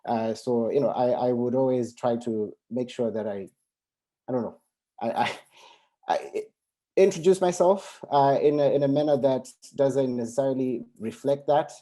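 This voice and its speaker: A man's voice: medium pitch, moderate pace, mellow. A man speaking on an academic panel about his fieldwork, hesitant, with many fillers and restarts.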